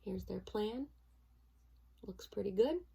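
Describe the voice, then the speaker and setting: A woman with the expressive manner of a first-grade teacher, reading a story aloud to young children. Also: mid-range voice, takes it slowly, gravelly, a little hiss or hum.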